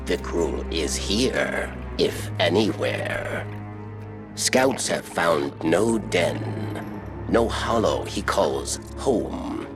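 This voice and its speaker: An actor playing a wary, cryptic old alien scribe in a video game, gravelly and halting, with clipped, deferential phrasing. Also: male voice, sits low, lively intonation, exaggerated voice. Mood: wary, guiding. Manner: halting, clipped, refers to himself in third person. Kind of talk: video game character dialogue. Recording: loud music behind. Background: game music.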